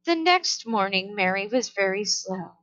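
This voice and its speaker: A woman reading a children's story aloud in a careful, deliberate way, on a somewhat dull recording. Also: medium pitch, expressive, a bit bright. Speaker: storybook reader. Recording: dull, lo-fi sound.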